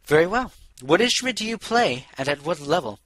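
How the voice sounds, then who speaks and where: A haughty pony lady mayor, acted by a male narrator, pitched up and bright. She is cool, clipped and disdainful, quick to doubt whoever she talks to, in a storybook style with a close, dry sound. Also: very animated, no room sound. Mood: skeptical, disdainful. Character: irritated, haughty female pony mayor and cellist, skeptical.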